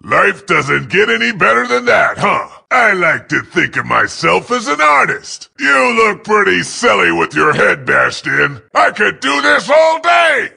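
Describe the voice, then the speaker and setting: An actor voicing a boisterous, trigger-happy robot brawler in a video game, brash and gleeful in loud combat barks. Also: male voice, sits high, very animated, measured pace, creaky, few pauses, exaggerated voice. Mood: gleeful, rowdy.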